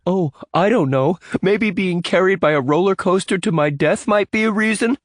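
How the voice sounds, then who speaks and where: Audiobook role: narrator doing a threatening hothead youth, raised and sharp. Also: male voice, sits high, moderate pace, no echo, clean studio sound, voice pushed up, lightly acted. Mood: angry. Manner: snappish, threatening. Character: hot-tempered captive young man, hostile.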